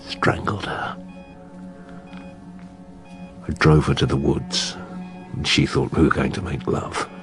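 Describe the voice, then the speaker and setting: An actor playing a weak, self-pitying adulterous husband in a radio drama, confessing to murder in a halting murmur. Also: male voice, a bass voice, huge pitch swings, music in the background, exaggerated voice. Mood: anguished confessing.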